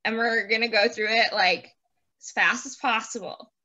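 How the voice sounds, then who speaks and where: A woman hosting a casual interview over a video call, chatting playfully and quick to laugh. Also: a little high, steady tone, pushed and bright, lo-fi sound. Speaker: host interviewing a guest. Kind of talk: casual chat and quick-fire questions.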